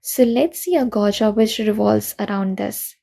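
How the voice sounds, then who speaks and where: A young woman giving a recorded conference talk, explaining programming pitfalls in an earnest, steady way. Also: medium pitch, moderate pace, vocal fry. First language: not Russian. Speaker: conference speaker.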